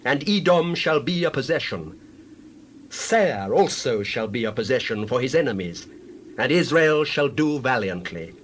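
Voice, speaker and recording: A man playing a solemn prophet, declaiming visions with measured, oracular pauses in a dramatized Bible reading. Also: medium pitch, animated, a little hiss or hum, natural voice. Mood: foreboding, proclaiming.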